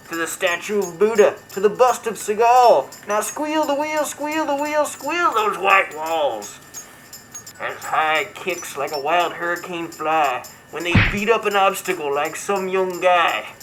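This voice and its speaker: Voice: medium pitch, fairly bright tone, low-quality recording. A performer voicing a brash, crude martial arts buddy character, reading comic verse in a mock-dramatic, theatrical way. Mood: rousing. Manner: mock-dramatic storytelling, irreverent. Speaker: comedy show host character. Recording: music behind the voice.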